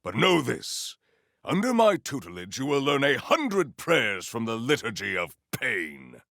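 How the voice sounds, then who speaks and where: A man playing a proud, stern warrior in a video game, speaking formally and theatrically in grandiose declarations with a gravelly edge. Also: medium pitch, wildly swooping pitch, a little slow, a bit bright, no room sound, studio quality, full character voice. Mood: stern, menacing. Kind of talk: video game character voice lines.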